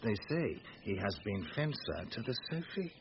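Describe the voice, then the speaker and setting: An actor playing a boisterous, scheming knight in a Shakespearean radio comedy, blustering with mock-solemn theatrical delivery. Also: male voice, on the low side, very animated, normal speed. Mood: urging, exaggerating. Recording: dull lo-fi radio recording, noisy.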